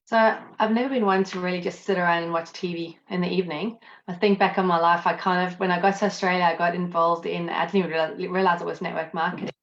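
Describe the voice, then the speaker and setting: A South African woman talks fast and a little low, telling her story in a chatty, self-deprecating way. The sound comes through a dull, lo-fi video call. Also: fairly even intonation. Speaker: guest, accountant and network marketer. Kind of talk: casual interview chat.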